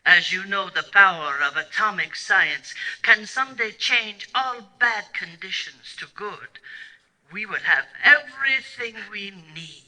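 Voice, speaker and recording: A man voices a scheming villainess of old radio drama, high and very sharp. The delivery swoops grandly, declamatory and icily courteous. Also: lively intonation, unhurried, exaggerated voice, poor audio. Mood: grandly menacing. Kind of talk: theatrical old-time radio villain acting.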